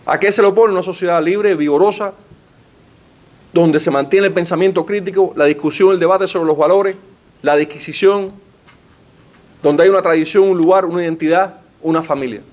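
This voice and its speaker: A man's voice: high voice, moderate pace. Man lecturing with rhetorical flair. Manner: rhetorical, uses questions and lists. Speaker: panelist giving a talk. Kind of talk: formal lecture. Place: library meeting room.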